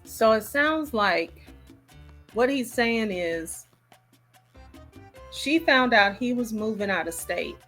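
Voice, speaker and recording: A woman's voice: a little high, lively intonation, takes it slowly, pauses often, a bit bright, soft background music. A woman giving casual, disapproving reaction commentary as a host, emphatic and repeating points to drive them home. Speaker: host reacting to a recorded call.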